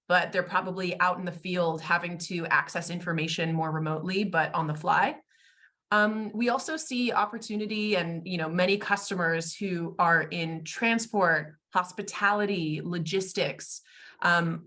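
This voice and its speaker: An American woman, a guest expert in a webinar interview, talking fluently in a polished and warm way. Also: fairly low pitch. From United States. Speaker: guest, tech company expert.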